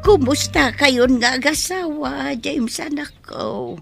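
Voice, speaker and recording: A woman playing a gentle, loving old mother and grandmother in a radio drama, speaking tenderly with an Ilocano Filipino accent. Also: high-pitched, slow, gravelly, faint music behind, exaggerated voice. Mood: tender, frail.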